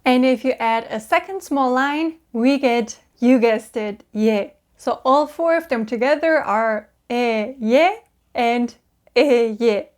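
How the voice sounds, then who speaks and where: Young German woman, fairly high pitch, upbeat patient teacher of language basics. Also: darkish tone. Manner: cheerful, patient, drawn-out sounds for repetition. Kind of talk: explaining Korean vowels to learners.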